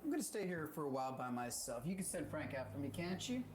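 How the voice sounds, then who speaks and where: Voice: medium pitch, big pitch swings, fast talker, lightly acted. An actor playing a condescending, self-assured male county attorney in a stage play. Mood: dissatisfied. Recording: noisy amateur recording.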